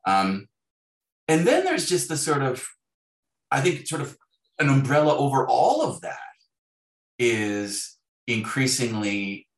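A man in his fifties speaking as an author being interviewed, in a thoughtful, halting way with repeated words. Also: medium pitch, very animated. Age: 50s. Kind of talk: reflective conversational interview. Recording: echoey, roomy sound.

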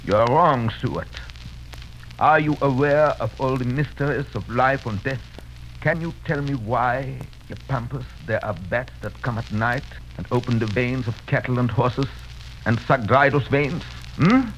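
An actor playing a grave, commanding doctor and vampire hunter, speaking solemnly and deliberately in 1930s radio drama style. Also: male voice, medium pitch, a bit bright, natural voice. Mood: challenging, probing. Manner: solemn, deliberate, rhetorical questioning. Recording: muffled, noisy vintage radio recording.

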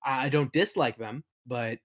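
A man's voice: mid-range voice, normal speed, a bit bright. A man in a casual interview, talking informally as a game master and telling anecdotes. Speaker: podcast guest, game master and worldbuilder.

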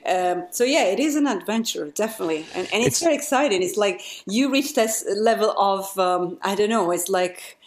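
A woman's voice: mid-range voice, moderate pace, few pauses. A woman singer as an interview guest, talking things through in loose, searching phrases. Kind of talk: casual conversation.

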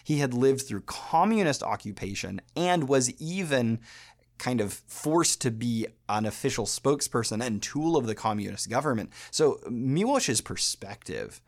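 An American man hosting a podcast, talking through a subject in a thoughtful, measured way with pauses. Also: mid-range voice, lively intonation. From United States. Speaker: podcast host. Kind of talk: explanatory podcast talk.

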